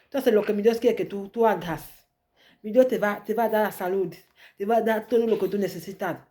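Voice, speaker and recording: Woman preacher, fervent repeated declarations, mellow dark voice. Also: medium pitch, fairly quick. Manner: fervent, repetitive declarations with call-and-response. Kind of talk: prophetic sermon, French then Spanish.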